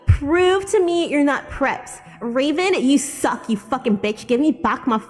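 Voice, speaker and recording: A woman's voice: sits high, lively intonation, unhurried, soft background music. A woman voicing a bratty, hot-tempered teenage goth girl with a melodramatic, indignant delivery, in a comic fan fiction reading. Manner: shouty, indignant, overdramatic.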